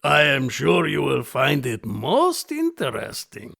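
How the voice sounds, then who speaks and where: A man playing a suave, menacing gentleman host in an audio drama, with a gravelly voice and formal, theatrical manner. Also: mid-range voice, huge pitch swings, slow pace, exaggerated voice. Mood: smooth menace.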